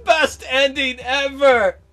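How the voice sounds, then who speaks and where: A man's voice: very high voice, fairly even intonation, slow, pushed and bright, faint music behind. A man hosting a let's play, reading a visual novel aloud with crude, irreverent joking asides.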